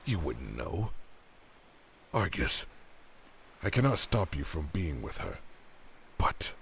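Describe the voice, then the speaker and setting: A man's voice: extremely low pitch, sing-song, slow, vocal fry, a bit bright, a little hiss or hum, heavily acted. An actor playing an exhausted, burdened male god who pleads with intense, volatile emotion in an audio drama. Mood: weary, pleading. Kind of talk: dramatic audio fiction acting.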